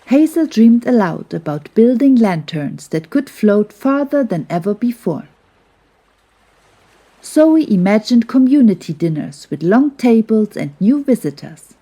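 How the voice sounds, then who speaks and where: A woman narrating a gentle children's bedtime story in a soothing, measured, tender way. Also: fairly high pitch, sing-song, slightly rough.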